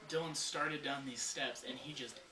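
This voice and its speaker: A man's voice: mid-range voice, steady tone, normal speed. A young man rambling with lots of "you know" while joking about his video partner in a mock interview. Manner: rambling, full of you-knows. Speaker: video creator. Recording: echoey room with crowd noise.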